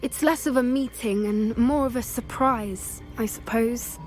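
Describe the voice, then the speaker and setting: A woman's voice: on the high side, a little slow, natural voice. An actress playing an earnest young witch who cares about magical creatures in a video game. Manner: earnest, gentle. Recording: game audio with faint music.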